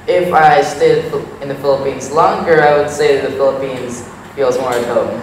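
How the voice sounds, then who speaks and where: A man's voice: medium pitch, steady tone, normal speed, background noise. A teenage boy with a Thai accent, giving frank, thoughtful answers in an interview. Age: teens. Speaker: interview guest. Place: small indoor room. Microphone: lapel mic. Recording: echoey room, distant sound.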